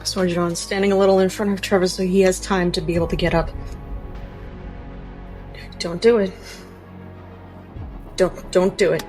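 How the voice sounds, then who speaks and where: A woman's voice: fairly low pitch, flat delivery, normal speed, pauses often, a little mellow, faint music behind. A woman playing a tabletop game, improvising in character with urgent, short, repeated phrases. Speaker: tabletop roleplay player.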